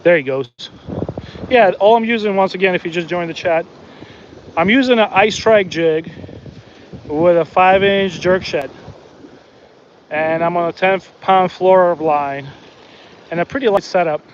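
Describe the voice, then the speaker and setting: A high-voiced man talks into his phone on a windy beach, chatting away to his viewers and to the fish he catches. The sound is a little dull, with faint wind behind him. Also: measured pace, long pauses. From Soviet Union. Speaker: fishing livestream host. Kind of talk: casual live commentary while fishing. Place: outdoors on a beach by the water.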